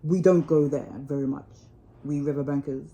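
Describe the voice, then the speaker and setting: A woman's voice: low voice, fairly even intonation, moderate pace, mellow, muffled recording, voice pushed down. A woman voicing a worldly, good-natured male water rat from a storybook, chatty and assured in an old-fashioned, chummy way. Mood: guarded.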